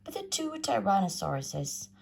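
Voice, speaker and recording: A woman reading a rhyming children's story aloud in a theatrical, sing-song, expressive way. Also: mid-range voice, moderate pace, creaky, slightly rough. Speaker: storybook reader.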